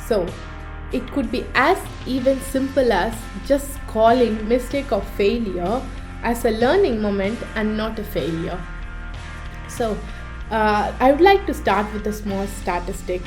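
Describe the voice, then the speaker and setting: Panel host, female, slightly high voice, scripted intro delivery over background guitar music. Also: measured pace, darkish tone, low-quality recording.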